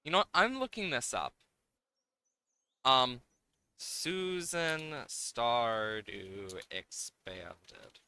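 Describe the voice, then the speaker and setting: A man's voice: medium pitch, sing-song, very slow, bright, forceful tone, smooth. A man giving casual, playful live commentary on a game, thinking aloud as he plays, with game music behind him. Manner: playful, hums and makes sound effects while talking.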